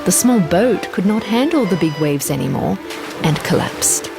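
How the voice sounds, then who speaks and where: Woman narrator, children's story reading, expressive and deliberate, swooping intonation, clear background music. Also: fairly low pitch.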